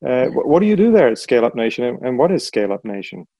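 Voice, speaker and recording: A man's voice: medium pitch, sing-song, very fast, dark tone, dry recording. A middle-aged man hosting an interview, speaking in a hesitant way with many restarts and repeated words. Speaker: podcast host, innovation consultant. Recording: dry close-mic sound.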